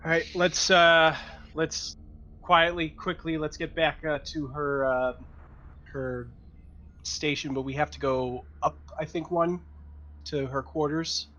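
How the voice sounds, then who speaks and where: A man's voice: fairly high pitch, fairly even intonation, slow pace, sharp tone, muffled recording. A man playing a tabletop game, chatting casually and thinking aloud with hesitations.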